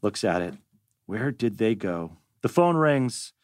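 A man's voice: lowish voice, big pitch swings, takes it slowly, no echo, studio quality. A man reading stage directions as the narrator of a scripted table read.

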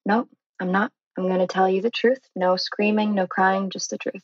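Dull lo-fi mic, woman doing frank defiant teen girl, tv drama read, mid pitch. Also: fairly even intonation, normal speed, dry recording, natural voice. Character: defiant, frank teenage daughter. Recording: dull, lo-fi microphone.

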